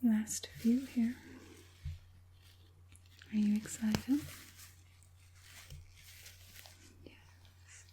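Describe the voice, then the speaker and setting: A woman playing a sinister, coaxing witch puppet master in a whispered ASMR roleplay, speaking softly and deliberately in a breathy whisper. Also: fairly high pitch, fairly even intonation, slight background noise. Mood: calm, scheming. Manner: slow, soothing, deliberate, menacingly sweet.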